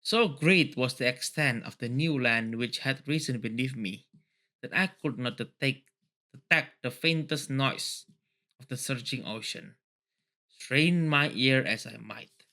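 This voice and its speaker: A man hosting a read-along, moving between reading a story aloud and excitable, casual commentary full of tangents. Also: medium pitch, unhurried. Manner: excitable, often laughing and digressing.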